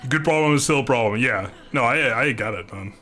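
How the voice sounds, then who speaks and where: A man livestreaming a video game, chatting informally and thinking aloud in a halting, casual way. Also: medium pitch, sharp tone, faint music behind. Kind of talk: casual livestream chat over gameplay.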